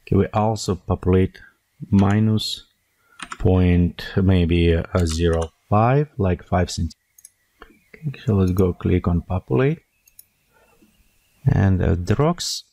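Tutorial narrator: man, deep gravelly husky voice, dark tone, dry close mic, thinks aloud while clicking. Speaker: software tutorial instructor. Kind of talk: screen-recorded software tutorial.

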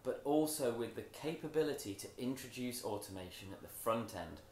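A man giving a rehearsed product launch presentation in measured, emphatic short phrases with deliberate pauses, as a company presenter. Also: mid-range voice, expressive, a little mellow, a little hiss or hum. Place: stage in a large event tent with audience. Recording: roomy echo from event PA.

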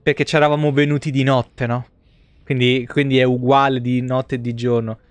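Italian male game streamer, thirties, smooth clear voice, dry sound, sarcastic and sweary. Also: mid-range voice, level tone, moderate pace, no room sound. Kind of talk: casual live-stream commentary on a role-playing game.

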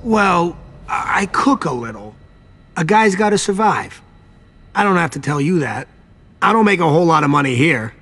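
A man's voice: on the high side, sing-song, normal speed, fairly bright tone, exaggerated voice. An actor voicing a nervous, servile vampire garage attendant in a video game, pleading and evasive in his manner. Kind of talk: video game cutscene acting.

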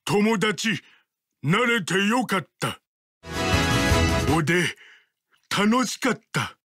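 Game creature: big, loyal, halting, extremely slow delivery, music underneath. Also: male voice, sits high, sing-song, heavily acted. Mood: earnest, simple. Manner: halting, childlike simple speech. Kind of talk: video game character voice clips.

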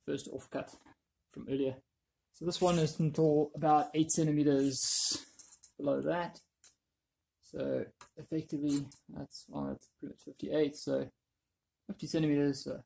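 Husky, creaky man talking through DIY. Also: fairly high pitch, steady tone, a little slow, darkish tone, poor audio. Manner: offhand, thinking aloud. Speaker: DIY video presenter. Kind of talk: casual hands-on how-to narration.

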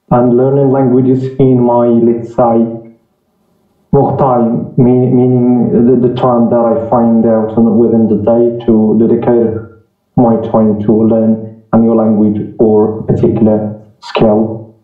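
A man from Morocco introduces himself on a video call in an even, level voice, polite and steady with filler pauses. The audio is tinny and phone-like, with echo and faint music behind. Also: medium pitch. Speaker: call participant. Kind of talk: casual self-introduction.

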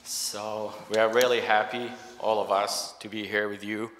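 A middle-aged man from Iraq speaks with an Iraqi accent in a fairly low voice. His English is halting and earnest, that of a native Arabic speaker telling his own story. The sound carries the long reverb of a church hall. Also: flat delivery, fairly bright tone, slight background noise. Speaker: church guest giving testimony. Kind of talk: spoken personal testimony. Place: church sanctuary stage. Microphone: handheld microphone on a stand.

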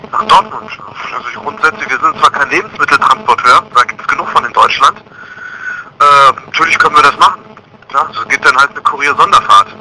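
Very bright, higher-pitched man on phone. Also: a little fast. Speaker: courier special service agent. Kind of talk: customer service phone call. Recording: noisy telephone line, harsh sound.

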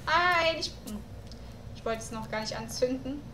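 A woman's voice: high voice, lively intonation, normal speed, echoey room, background noise. A young woman doing casual let's play commentary, thinking aloud through puzzles at home on a mic. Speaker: let's player.

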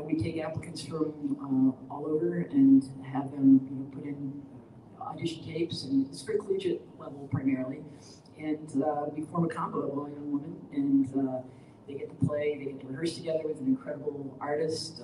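A woman jazz educator talks with animated enthusiasm, piling on asides as she goes. She is picked up from a distance in a large, echoing room. A steady background noise sits under her voice. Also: low-pitched. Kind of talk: panel discussion. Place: large indoor studio room, seated panel. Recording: roomy, distant, noisy background.

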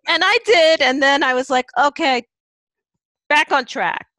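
A woman's voice: high voice, a little slow, bright, forceful tone, no room sound, clean studio sound. An older woman hosting a casual chat, enthusiastic and laughing often. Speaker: host. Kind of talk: casual video-call chat.

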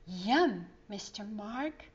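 A woman voicing a wide-eyed, eager little girl in a children's storybook, excited and marvelling. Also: medium pitch, extremely slow, lo-fi sound. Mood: delighted, eager. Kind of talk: children's storybook character reading.

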